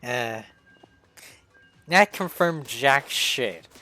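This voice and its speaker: A man's voice: medium pitch, lively intonation, extremely slow, bright, forceful tone, faint music behind. A man in casual stream chat, reacting in short bursts and laughing often.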